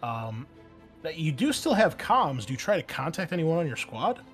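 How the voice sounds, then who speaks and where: A man's voice: a little high, sing-song, moderate pace. A man narrating a tabletop roleplaying game as game master in an informal, conversational way. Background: background music.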